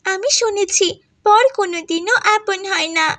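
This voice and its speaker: A woman's voice: very high voice, unhurried, a bit bright, dry recording. A woman voicing a stubborn, distrustful seven-year-old village girl in a cartoon, childlike and insistent. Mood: defiant. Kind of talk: animated moral story voice acting.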